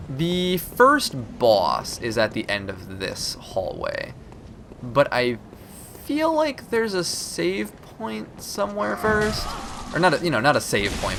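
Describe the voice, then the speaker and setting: A man's voice: mid-range voice, fairly bright tone. A young man with a stuffy nose from a cold, casually chatting and swearing as he plays a game for viewers. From Florida. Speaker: let's play host. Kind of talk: casual gameplay commentary. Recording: recording with clear background noise.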